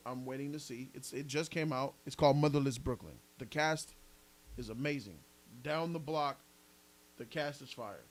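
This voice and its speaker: A man's voice: on the high side, slight background noise, poor audio. A man hosting a casual live podcast, debating movies in an emphatic, argumentative, slangy way with some swearing. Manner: emphatic, argumentative, repeats points, slangy and profane. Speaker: podcast host reading donor messages.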